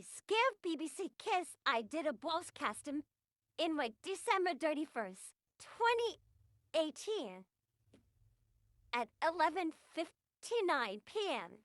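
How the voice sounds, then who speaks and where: Very high, bright woman, mock emergency announcer. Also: sing-song, unhurried, no echo, studio quality. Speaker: amateur video creator.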